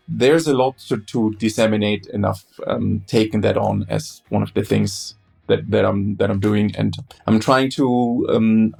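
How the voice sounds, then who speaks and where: A man from Austria, a German speaker, talking as a guest in a conversational interview, often halting and repeating words. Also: lowish voice, creaky, a little mellow, husky. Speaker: guest beer historian.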